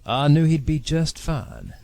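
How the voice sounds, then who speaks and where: Easygoing younger man, gravelly fry, lively pitch, wry, audio soap. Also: moderate pace, exaggerated voice. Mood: wry, polite. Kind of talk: audio soap drama acting.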